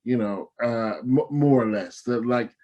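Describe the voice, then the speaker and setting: A man speaking conversationally as he leads a podcast discussion, in a halting, thoughtful manner. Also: medium pitch, warm tone, highs cut off.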